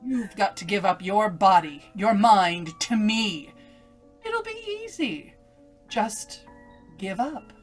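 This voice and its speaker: A woman's voice: mid-range voice, big pitch swings, takes it slowly, soft background music. A woman playing an evil, domineering inner voice in an old-time radio melodrama, taunting and commanding with menace. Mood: fierce, demanding. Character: evil inner voice, a domineering female alter ego.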